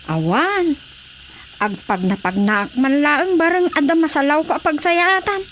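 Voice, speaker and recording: An actress with an Ilocano accent playing an excitable, eager village woman in a radio drama comedy. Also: sits high, unhurried, slight background noise. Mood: cheerful, curious. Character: excitable, eager village woman Sita, copying her neighbour.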